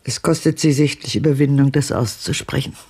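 An older actress playing a haunted, commanding old woman who recounts a grim past haltingly in a German radio drama, her voice deep and husky. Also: dark tone. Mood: challenging.

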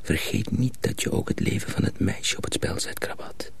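A man acting in a radio drama as a calm, secretive friend and mentor, quietly cautioning and reassuring. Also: normal speed, whispered, natural voice.

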